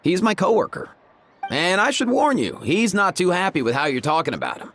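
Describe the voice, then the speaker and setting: A cheerful, teasing young companion from a video game. His fairly high voice lilts and bounces as he jokes. Soft music plays underneath. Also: a little fast. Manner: lively, teasing. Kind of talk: video game cutscene voice acting.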